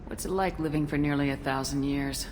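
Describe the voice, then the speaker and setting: An actress playing a calm, curious human soldier heroine in a video game, speaking in a measured way. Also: female voice, very deep voice, a little hiss or hum, poor audio.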